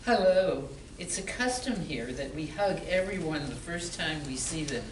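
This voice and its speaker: A woman's voice: low-pitched, lively intonation, unhurried. A woman playing a flirty barmaid and undercover cop in an amateur radio play. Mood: flirtatious. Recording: roomy, distant mic, faint hiss.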